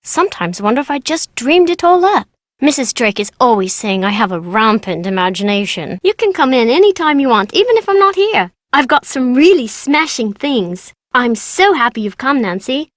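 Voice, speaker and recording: A woman's voice: sits high, big pitch swings, moderate pace, dry recording, clean studio sound. A woman voicing a playful, mischievous British schoolgirl in a video game, chatty and childlike. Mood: uneasy, confiding, then cheerful, eager. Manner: childlike, informal, bargaining. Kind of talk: video game character dialogue.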